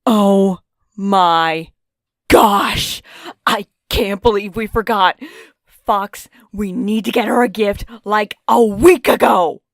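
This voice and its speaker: A woman's voice: medium pitch, slow pace, dry recording. A woman voicing a sassy, fashion-minded teenage girl in a fan audio drama.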